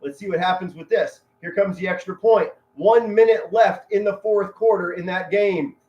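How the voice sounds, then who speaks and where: This is a man with a high voice doing excitable sports play-by-play for a live stream. He talks like a devoted fan, with colorful, dramatic turns of phrase and weighty pauses. The audio is echoey and somewhat dull and lo-fi. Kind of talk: loud, excitable fan commentary mixed with chat.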